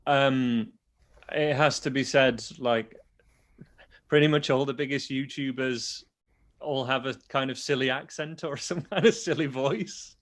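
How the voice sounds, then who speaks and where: Man, Yorkshire accent, guitar teacher, relaxed explaining, restarts sentences. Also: medium pitch. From Yorkshire, England. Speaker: guitar teacher and online video creator. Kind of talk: casual interview chat.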